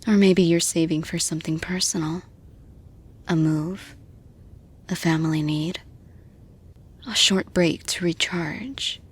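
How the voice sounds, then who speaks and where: A composed female boss acted by a woman speaks softly and slowly, straight to the listener. Her voice is breathy and a little low. She sounds measured, supportive and reassuring, as in an intimate roleplay audio.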